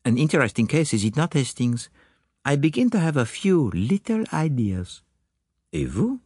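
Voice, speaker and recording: A man's voice: on the high side, very animated, normal speed, warm tone, breathy edge, no room sound, studio quality, pitched above the performer's own voice, full character voice. A man voicing a courtly, confident Belgian detective with a French-speaking accent and formal, precise phrasing, in an audiobook story. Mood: amused. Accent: French-speaking Belgian, put on.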